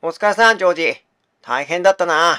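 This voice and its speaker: A man playing a blunt, brotherly best friend in a game, talking in rough casual speech. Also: high voice, fast, pushed and bright, dry recording, clean studio sound, voice pushed up. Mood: concerned. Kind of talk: game dialogue reading.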